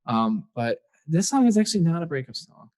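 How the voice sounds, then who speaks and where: A man's voice: fairly high pitch, sing-song, fairly quick, a little mellow. A young man, a singer-songwriter guest, chatting casually in a podcast interview and rambling with fillers. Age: young adult.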